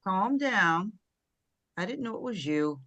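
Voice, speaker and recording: A woman acting a gruff, deadpan, tough female aide in a comedy table read. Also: mid-range voice, slow pace, no room sound, muffled recording.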